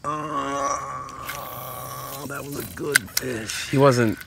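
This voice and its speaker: A young man filming a casual fishing vlog outdoors by a pond, talking in a laid-back way with excited bursts when a fish bites. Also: mid-range voice, extremely slow, gravelly, very noisy. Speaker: fishing vlogger.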